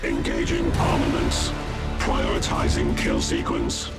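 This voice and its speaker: A man's voice: very deep voice, monotone, unhurried, vocal fry, full character voice. A man voicing a cold, devout machine-augmented priest in a video game, speaking in clipped clinical reports through a robotic effect. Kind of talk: video game cutscene acting. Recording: loud music behind.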